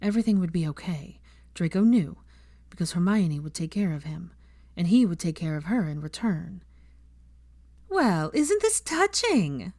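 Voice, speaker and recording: A woman reading fiction aloud as an audiobook narrator, in an expressive scripted style. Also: lowish voice, moderate pace, dry recording, clean studio sound.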